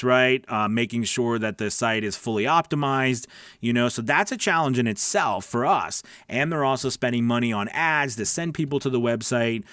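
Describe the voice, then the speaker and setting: Male livestream coach, mid-pitched, dry close sound; conversational, emphatic, explains informally and checks in often. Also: a bit bright, dry recording. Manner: conversational, emphatic, repeats words and checks in with "right?" and "okay?". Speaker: voice-over coach hosting a live Q&A stream. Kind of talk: casual instructional livestream chat, answering viewer questions.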